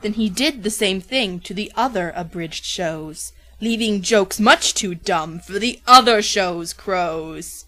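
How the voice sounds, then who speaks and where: Bright-voiced woman reading a rhyming story. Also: mid-range voice, takes it slowly, few pauses. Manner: theatrical storytelling. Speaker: storybook narrator. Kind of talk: rhyming scripted storybook narration, parody.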